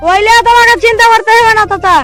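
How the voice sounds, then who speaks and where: Woman as cocky young nephew, very high pitch, brash, village comedy, faint music. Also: steady tone, fast, fairly bright tone. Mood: reassuring. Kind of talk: village comedy skit acting.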